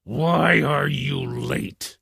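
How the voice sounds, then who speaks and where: Man as a bossy lion ruler, angry, commanding, drawn-out slow speech, fairy-tale beast, dry recording. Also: on the high side, gravelly, pushed and bright, husky, no echo, soft background music, pitched above the performer's own voice, full character voice. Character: angry, domineering lion king of the forest.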